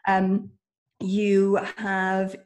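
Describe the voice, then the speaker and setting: A woman's voice: medium pitch, completely flat delivery, extremely slow. A woman hosting an interview, introducing her guest's credentials in a friendly, admiring way. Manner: friendly, admiring, laughs easily. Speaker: interview host.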